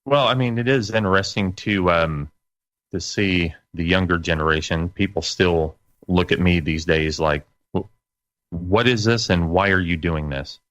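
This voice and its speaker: A middle-aged man chatting as an interview guest in a halting, conversational way, on a slightly muffled recording. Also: deep voice, fairly bright tone, no echo. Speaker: interview guest, internet radio station operator. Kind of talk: casual interview chat.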